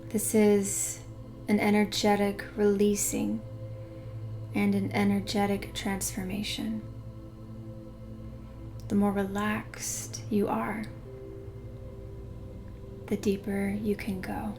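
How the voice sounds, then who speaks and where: A woman guiding a meditation in a soothing, unhurried way, hushed in places. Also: medium pitch, completely flat delivery. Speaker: meditation guide. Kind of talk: guided meditation narration. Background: background music.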